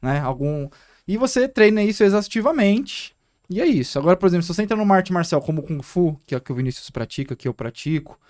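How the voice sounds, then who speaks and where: A man with a Brazilian Portuguese accent, co-hosting a casual podcast and explaining points in an animated way. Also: high-pitched, fast talker. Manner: explanatory, animated, asks listeners to agree. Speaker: podcast co-host, acupuncturist.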